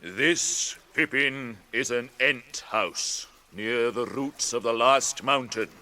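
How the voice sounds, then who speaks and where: An actor playing an ancient, kindly tree-giant in a British radio drama, ponderous and musing, with old-fashioned phrasing and a temper that can be stirred. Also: male voice, medium pitch, slow pace, bright, forceful tone. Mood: kindly, unhurried.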